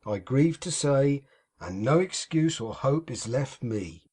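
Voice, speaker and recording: A man voicing a pompous, sanctimonious middle-aged gentleman in an audiobook, with a slightly husky, raised voice and grand theatrical phrasing. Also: medium pitch, animated, takes it slowly. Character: pompous hypocritical middle-aged male architect.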